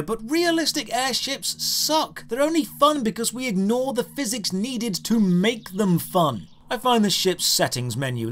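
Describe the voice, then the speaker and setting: A man narrating a scripted comedic video game review as its host, with a sarcastic, exasperated and emphatic delivery. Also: sits high.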